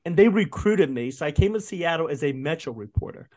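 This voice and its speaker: A man in his fifties speaks as a podcast guest, his voice sitting a little high for a man. He tells stories with animated delivery, laughing often and restarting sentences as he goes. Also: brisk. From Vietnam. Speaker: guest, food writer. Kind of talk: casual podcast interview.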